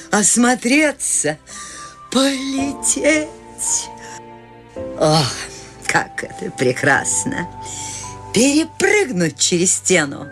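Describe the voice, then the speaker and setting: A woman voicing a free-spirited, whimsical elderly woman in a radio play, speaking in a lilting, gently ironic way. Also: mid-range voice. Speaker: eccentric old woman Maud.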